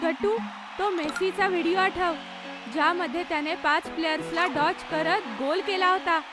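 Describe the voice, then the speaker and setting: A woman's voice: very high voice, normal speed, music in the background. A woman voicing a caring, supportive little schoolgirl in a children's cartoon. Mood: urging.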